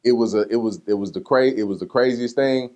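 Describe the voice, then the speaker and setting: A man's voice: mid-range voice, fairly quick. A man talking casually and candidly as an interview guest, in slangy conversational speech, acting out what people said as he tells stories. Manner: slangy, conversational, animated retelling with acted-out quotes. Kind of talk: casual candid interview talk answering personal questions.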